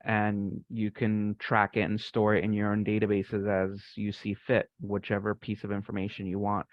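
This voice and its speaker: A man's voice: lowish voice, completely flat delivery, normal speed. A man casually explaining something technical on a group call, with a polite, tentative manner. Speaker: participant in a software community call. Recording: dull, band-limited online call audio.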